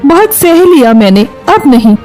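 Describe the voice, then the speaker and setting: A woman's voice: sits high, very animated, normal speed, warm tone, soft background music. A woman playing a wronged young wife, pleading yet defiant, in a Hindi moral story.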